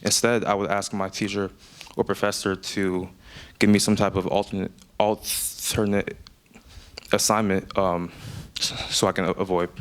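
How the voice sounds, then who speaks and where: A man's voice: deep voice, flat delivery, normal speed, smooth. Young man speaking with a stutter. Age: young adult. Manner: stutters audibly with repetitions and fillers. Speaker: student speaker. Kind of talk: prepared personal speech. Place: event speech.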